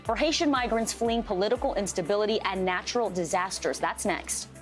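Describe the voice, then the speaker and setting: Brisk female morning news anchor. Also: mid-range voice, music in the background. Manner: bright, brisk anchor handoffs.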